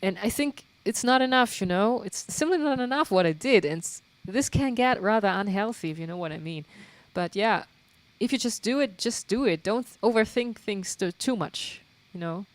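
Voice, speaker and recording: A woman's voice: medium pitch, expressive, normal speed, no room sound, rough recording. A young woman from Germany with a German accent, chatting casually with her livestream viewers, laughing often and using lots of fillers. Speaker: livestream host and guitarist. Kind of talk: casual chat answering viewer questions.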